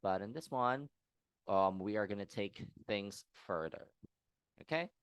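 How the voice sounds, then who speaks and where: Teacher, male, low voice, dry close sound, patient and encouraging, online lesson. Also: lively intonation, measured pace, clear, resonant tone, no echo, highs cut off. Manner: patient, encouraging, checks in often. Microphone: video call.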